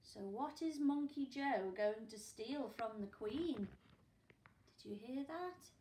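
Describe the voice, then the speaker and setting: A woman in her forties, a primary school teacher reading a picture book aloud at home, with gasps and hushed asides for young listeners. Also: a little high, animated, slow, echoey room, a little hiss or hum, low-quality recording. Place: living room at home, indoors. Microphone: camera a few feet away.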